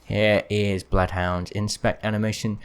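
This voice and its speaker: A man's voice: on the low side, little pitch movement, unhurried, clear, resonant tone. A man giving casual, explanatory commentary as the creator of a gaming video.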